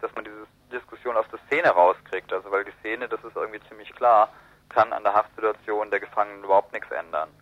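A man giving analytical, discursive political commentary as a guest in a radio interview. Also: fairly low pitch. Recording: roomy, muffled radio interview.

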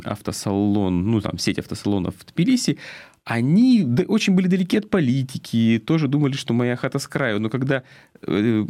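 A man giving political commentary off the cuff, earnest and deliberate, stressing his key words. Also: medium pitch, mellow, no room sound, clean studio sound.

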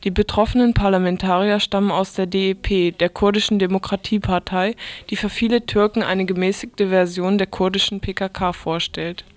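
A woman's voice: mid-range voice, steady tone, a little fast, dry recording. Female radio news presenter, scripted delivery, very dry close studio sound.

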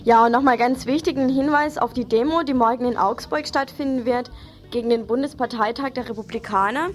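A woman's voice: on the high side, fairly quick, faint music behind. A woman presenting an informal event announcement on the radio.

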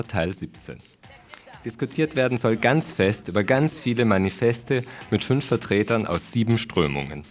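A man presenting radio event listings, reading in a wry, ironic manner. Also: on the low side. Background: music.

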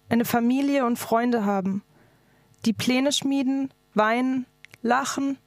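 A woman's voice: a little high, no echo, studio quality. A woman activist delivering a scripted political speech in an emphatic, declarative manner. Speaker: activist speaker.

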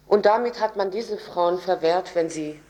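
A woman's voice: on the low side, moderate pace, warm tone. Woman giving a political speech, roomy echo. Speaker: speaker at a public discussion. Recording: roomy, echoing event recording.

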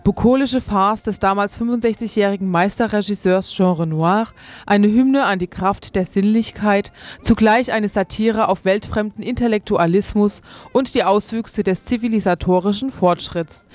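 A woman's voice: mid-range voice, a little mellow. A woman radio presenter reading scripted event listings and programme announcements. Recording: music behind the voice. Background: music.

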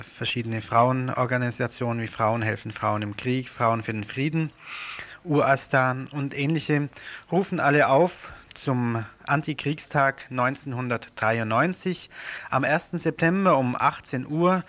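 A man presenting a local radio info show, reading event notices and an appeal in an earnest, steady way with small slips. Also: mid-range voice. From Germany.

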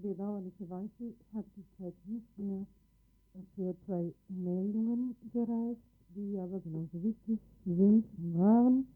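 Halting woman radio host, thin band-limited sound. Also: mid-range voice. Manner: halting, searching for words. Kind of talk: unscripted local radio announcements. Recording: lo-fi phone-like radio, music behind.

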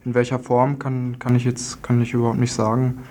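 A male reporter talking off the cuff on the radio. His medium-pitched voice stays very even as he halts, restarts and tacks short checking tags onto his phrases. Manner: halting, tags sentences with "ne". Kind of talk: informal spoken eyewitness report. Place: radio studio.

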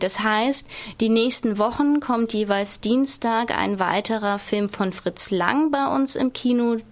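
Female interview guest, mid-range voice, organised and factual delivery, radio studio. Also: mid-range voice. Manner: clear, structured, matter-of-fact explaining. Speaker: film club member, interview guest.

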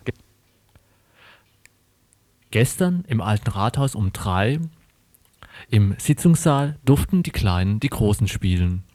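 A man's voice: lowish voice, very animated, takes it slowly, pauses often, darkish tone, no echo. A man reading a scripted satirical radio report in a wry, ironic manner.

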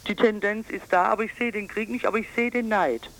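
A woman giving a political radio interview as an advocate, emphatic and insistent, sometimes halting. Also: mid-range voice, steady tone, poor audio. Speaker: pensioners' advocacy group board member, interviewee.

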